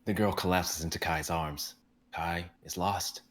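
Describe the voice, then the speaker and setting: A young man narrating the stage directions of a dramatic reading in a steady, descriptive way. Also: low-pitched, a little slow. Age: young adult.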